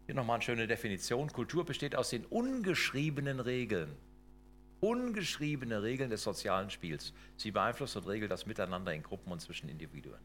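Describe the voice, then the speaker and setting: A man's voice: fairly low pitch, sing-song, fairly quick, fairly bright tone, a little hiss or hum. A middle-aged man giving a lecture, emphatic and full of rhetorical questions and tag questions. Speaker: lecturer on intercultural work. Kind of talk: lecture with slides.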